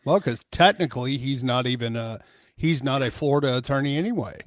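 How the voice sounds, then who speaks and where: A man's voice: mid-range voice, a little fast, no room sound, studio quality. A man, explaining points casually as a panelist in a discussion. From Southern United States. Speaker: panelist discussing legal matters.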